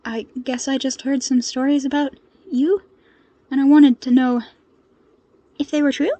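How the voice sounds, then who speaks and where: A woman voicing a nervous, awestruck young male intern in an audio story, halting and tentative. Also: sits high, steady tone, warm tone, no room sound.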